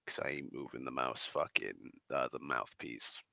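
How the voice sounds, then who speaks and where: A very deep, gravelly male voice with a crisp, bright edge, rambling slowly and swearing freely. He sounds a little congested from the tail end of a flu, sighing between thoughts. The sound is close and dry on a desk microphone. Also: no room sound. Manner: swears a lot, drawling, slow and sighing. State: recovering from flu, slightly congested. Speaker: streamer. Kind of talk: rambling casual monologue.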